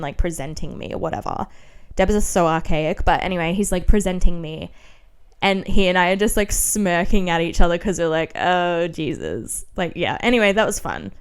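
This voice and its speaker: A woman in her twenties with an Australian accent, hosting a podcast in a casual, confessional, self-mocking and animated way as she tells stories from her own life. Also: fairly low pitch, clean tone. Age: 20s. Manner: animated, self-mocking, giggly asides, frequent "like". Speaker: podcast host. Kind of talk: casual, confessional podcast storytelling and diary reading.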